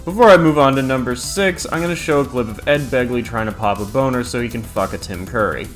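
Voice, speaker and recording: A man's voice: medium pitch, quick pace, soft background music. A man giving a casual scripted review with irreverent, crude joking asides. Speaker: host of an entertainment ranking video.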